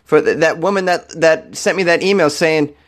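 A man's voice: a little high, steady tone, moderate pace, fairly bright tone. A young man hosting a comedy podcast, talking loosely and joking, with frequent laughs. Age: young adult. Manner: joking, laughs often, playful. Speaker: podcast host. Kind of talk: casual comedic monologue.

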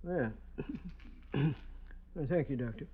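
A man's voice: medium pitch, takes it slowly, breathy edge. A man acting as an obliging, matter-of-fact janitor in an old-time radio drama, speaking in terse, folksy, hesitant phrases. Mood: dry, reserved. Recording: noisy, dull old radio recording with clicks.

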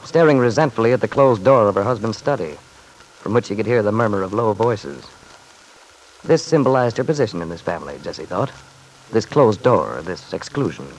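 A man's voice: lowish voice, poor audio. A man narrating a classic radio soap opera in a scripted, dramatic storytelling style.

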